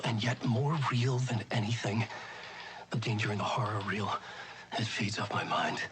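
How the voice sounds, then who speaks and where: An actor playing a desperate, haunted writer who narrates in a brooding, often whispered voice. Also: male voice, mid-range voice, close to an everyday voice, poor audio.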